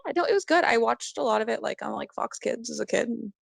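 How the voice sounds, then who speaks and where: A woman guest chatting casually on a podcast about cartoons and memes, enthusiastic and a bit halting. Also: a little high, animated, creaky, husky, no echo, clean studio sound.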